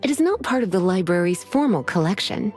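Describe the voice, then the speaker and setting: A woman voicing a languid, teasing adult librarian mage in a video game, speaking in a slow, drawling way. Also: mid-range voice, sing-song, a little mellow, lightly acted. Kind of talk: game voice acting. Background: faint game music.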